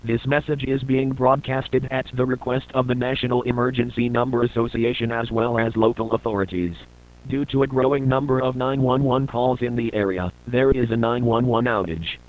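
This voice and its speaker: Man, emergency alert announcer, mid-pitched, formal, flat delivery, thin band-limited broadcast audio. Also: phone-quality sound.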